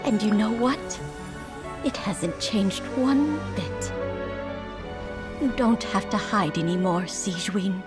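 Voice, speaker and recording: A woman voicing a grateful old woman in a game cutscene, who speaks in a gentle, measured way. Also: medium pitch, natural voice. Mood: heartfelt. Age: older. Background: game music.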